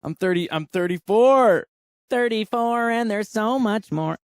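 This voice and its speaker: Male musician guest, 30s, candid and laughing, smooth clear tone. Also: high-pitched, expressive, a little slow, no room sound, clean studio sound. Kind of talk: casual interview chat.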